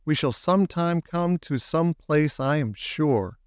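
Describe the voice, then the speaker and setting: A man's voice: fairly high pitch, unhurried, smooth, no echo, clean studio sound, lightly acted. A man voicing an earnest young girl lost on a storybook journey. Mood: hopeful.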